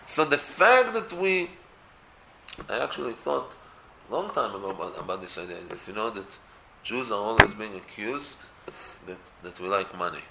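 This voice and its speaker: Israeli guy talking torah casually, gravelly bright voice, swings up and down a lot, echoey room. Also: fairly low pitch, normal speed, background noise. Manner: animated, many restarts, rising to emphatic peaks. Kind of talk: casual religious talk.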